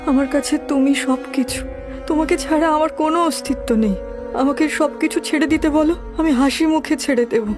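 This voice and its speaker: A woman's voice: high voice, fairly even intonation, normal speed, lightly acted. A woman playing a devoted, dignified landlord's wife in a cartoon, in an earnest, melodramatic manner. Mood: pleading. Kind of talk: Bengali cartoon voice acting. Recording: music behind the voice.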